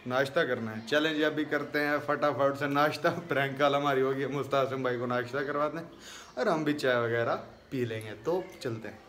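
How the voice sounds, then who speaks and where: A man's voice: mid-range voice, fairly quick, reverberant. A young man with a Pakistani accent chatting casually and teasingly as a vlogger, holding his phone at arm's length. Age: young adult. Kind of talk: casual vlog chat. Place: room at home. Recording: noisy handheld phone recording.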